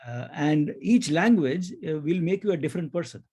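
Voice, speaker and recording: A man's voice: fairly high pitch, lively intonation, normal speed, mellow, husky. A man with an Indian accent hosting a conversational podcast interview, speaking in an effusive, reflective way. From India (grew up in Mumbai).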